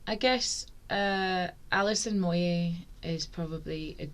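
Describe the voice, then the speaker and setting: Deep husky north east england woman, singer guest on a podcast, chatty and laughing. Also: slow. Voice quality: low, husky voice. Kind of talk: casual interview chat. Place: podcast studio.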